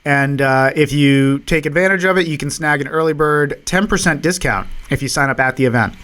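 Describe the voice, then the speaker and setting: A man's voice: fairly high pitch, brisk, a bit bright. A man with a Massachusetts accent co-hosting a podcast, running through local event listings in an easygoing, folksy way. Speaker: podcast co-host. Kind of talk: casual chat reading out local event listings.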